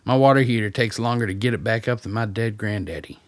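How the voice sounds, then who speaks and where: An actor playing a gruff, wry rural guide in a radio play, talking in a blunt, folksy, plain-spoken way. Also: male voice, on the low side, quick pace, dry recording, lightly acted.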